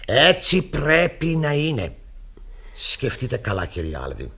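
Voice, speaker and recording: A stern pastor in an old-fashioned theatrical radio style. His husky voice rises and falls dramatically. He moralizes formally and emphatically, as a man easily scandalized. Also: mid-range voice, huge pitch swings, bright, forceful tone, heavily acted. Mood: stern, reproachful. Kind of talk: Greek radio theatre acting.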